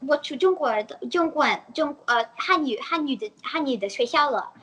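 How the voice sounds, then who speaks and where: A girl in her early teens from the United States, chatting in an interview and often pausing to search for words. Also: sits high, animated, fairly bright tone, poor audio. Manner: halting, searching for words, giggly. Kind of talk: casual multilingual chat.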